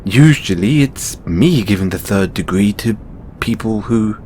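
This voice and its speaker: Over a rumbly, noisy background comes an affectionate, stressed god acted by a man talking to a beloved devotee. The voice sits low and swings expressively, with slow, halting phrasing. It is close, playful boyfriend roleplay. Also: animated, a little mellow. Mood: defensive, flustered. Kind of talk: intimate audio roleplay acting.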